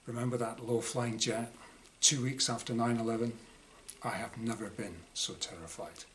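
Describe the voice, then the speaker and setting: Male author-reader, mid-pitched and bright, wry exasperated diary monologue. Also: steady tone, normal speed. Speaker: author reading own short story. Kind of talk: dramatised reading of a first-person diary story. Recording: clear background noise.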